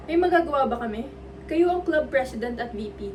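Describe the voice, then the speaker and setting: A woman as middle-aged Filipino teacher, very high voice, sharp-tongued, sarcastic, off-mic, amateur drama. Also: lively intonation, fairly quick. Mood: curt, grumbling. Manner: curt, sarcastic. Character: grumbling, sharp-tongued 53-year-old female math teacher. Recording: distant mic, faint background noise.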